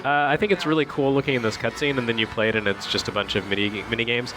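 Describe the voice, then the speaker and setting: A man's voice: mid-range voice, steady tone, quick pace, poor audio. A man working as a video game critic, giving casual, opinionated commentary in a bantering, conversational way. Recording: game music playing behind the voice. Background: video game music.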